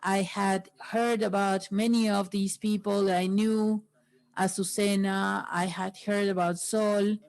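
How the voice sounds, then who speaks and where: Woman, interpreter, slow halting delivery, mid-pitched voice. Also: level tone, darkish tone, poor audio.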